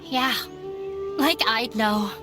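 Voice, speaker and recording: A woman's voice: on the high side, very slow, over music. A woman voicing a dejected young daughter who ran away from a demanding father, speaking earnestly and with resignation in game dialogue. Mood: hurt, bitter.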